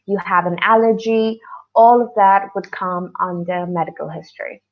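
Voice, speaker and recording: A woman's voice: on the low side, moderate pace. Dull-sounding webinar audio, woman teacher from Pakistan. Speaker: English teacher co-hosting a webinar. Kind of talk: online lesson, informal explaining. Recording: dull, lo-fi online call audio.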